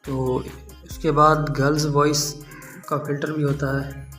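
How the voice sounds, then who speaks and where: A man presents an app tutorial in a casual way. His voice sits a little high and moves in a very flat, monotone line, with soft music underneath. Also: a little slow. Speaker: tech tutorial host.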